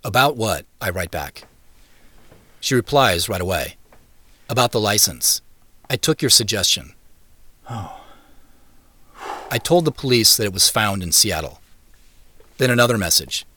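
A man's voice: fairly low pitch, animated, unhurried, pauses often, pushed and bright, no echo, heavily acted. An actor playing a reflective man who narrates his own story in a scripted first-person style. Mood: dry, matter-of-fact. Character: reflective man recounting his dreams and his search. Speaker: narrator and main character of an audio drama. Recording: music playing behind the voice. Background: music.

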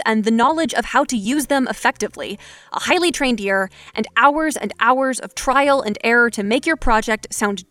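A woman's voice: a little high, a bit bright, dry recording. A woman hosting a podcast, talking through a scripted explainer in a direct, instructive way. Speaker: podcast host.

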